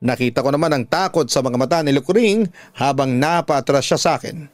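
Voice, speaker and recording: A man's voice: fairly high pitch, brisk, no room sound. A man with a Filipino accent, a radio drama host reading out a listener's letter as a dramatic story and giving advice.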